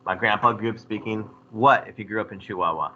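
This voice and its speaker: A man teaching a history class, lecturing in a lively way and putting questions to his students. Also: on the low side, a little fast, highs cut off, slight background noise. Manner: animated, asks the class questions.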